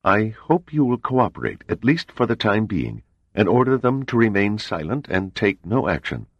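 A man voicing a calm male intelligence official who speaks impassively and evasively. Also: on the low side, moderate pace, dry recording, highs cut off, pitched above the performer's own voice.

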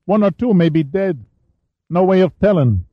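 A man voicing an eager seventeen-year-old boy serving as a ship's gunner, in a high, youthful voice. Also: expressive, moderate pace, no echo. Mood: earnest. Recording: dull, dry studio sound.

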